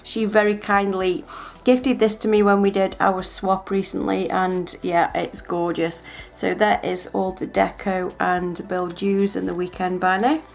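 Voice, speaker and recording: A woman's voice: mid-range voice, little pitch movement, unhurried, vocal fry. Chatty woman gushing over stickers. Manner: chatty, gushing, with small restarts. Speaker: planner vlogger. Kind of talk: casual show-and-tell narration.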